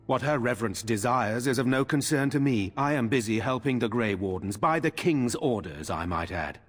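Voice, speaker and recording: An actor voicing a haughty, grumpy mage in a fantasy video game, curt, indignant and formal. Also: male voice, medium pitch, animated, normal speed, lightly acted. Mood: irritated, disdainful.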